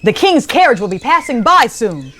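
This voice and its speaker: A woman voicing a cunning, bold, flattering trickster cat in a theatrical storybook read-aloud for children. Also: a little high, moderate pace, a little hiss or hum, pitched above the performer's own voice. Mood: threatening.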